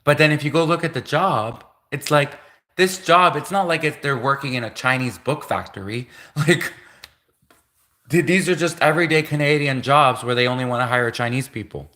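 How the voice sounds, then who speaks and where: Bright-voiced man ranting on a livestream. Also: medium pitch, normal speed. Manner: repetitive, insistent, many restarts. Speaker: livestream host. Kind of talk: livestream rant.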